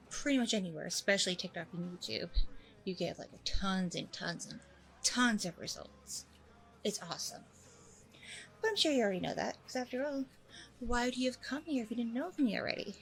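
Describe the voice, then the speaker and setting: A woman's voice: mid-range voice, creaky, fairly bright tone, rough recording. A woman playing a smug, self-promoting training center owner in a chatty ASMR roleplay, with breezy, self-satisfied customer-service politeness. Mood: smug, boastful. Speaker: roleplay audio performer.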